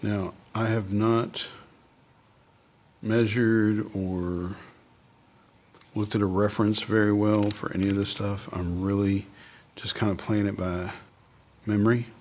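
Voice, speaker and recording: A man's voice: on the low side, level tone, measured pace, a little mellow, a little hiss or hum. A man talking casually and unscripted as he demonstrates drawing, thinking aloud and trailing off as he goes. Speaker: artist hosting a drawing video.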